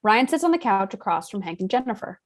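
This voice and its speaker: Female narrator, medium pitch, scripted reading of stage directions. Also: big pitch swings, fast talker.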